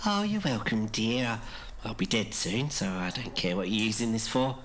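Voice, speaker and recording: Woman acting really old aussie lady in her 90s, blunt and irreverent, halting memories, bit of noise behind her. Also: rumbling low, animated. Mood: frank, chatty. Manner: blunt, hesitant recollection with frequent pauses. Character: frank, irreverent 96-year-old Australian woman, reminiscing. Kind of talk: interview-style podcast drama. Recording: clearly noisy interview recording.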